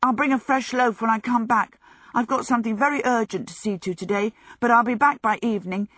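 A woman voicing an agitated, hurried but polite young male apprentice in a storybook narration. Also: fairly high pitch, a bit bright, no room sound, pitched above the performer's own voice.

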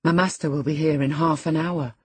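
A woman's voice: low voice, fairly even intonation, a little fast, breathy edge, dry recording. A narrator voicing an alarmed, scolding female servant, urgent and exclaiming, in an audiobook. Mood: warning.

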